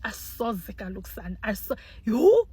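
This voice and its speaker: A South African woman commenting on a news clip for her channel. She talks in an animated, exclaiming way, switching freely between languages and sounding indignant. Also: medium pitch, normal speed, breathy edge. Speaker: online commentator. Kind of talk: casual commentary vlog.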